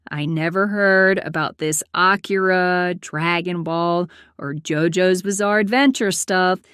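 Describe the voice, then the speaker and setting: A woman playing a prim, aloof accountant in her late twenties who narrates her own story in a deadpan, formal way. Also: on the low side, unhurried, clear, resonant tone, no echo, studio quality. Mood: dry, unimpressed. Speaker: host and narrator of a character story series. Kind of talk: scripted first-person storytelling.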